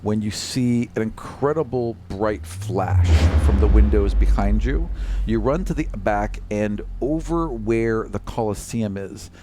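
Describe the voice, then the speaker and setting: A man narrating a tabletop role-playing game as game master, halting and descriptive with casual asides. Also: lowish voice, background noise.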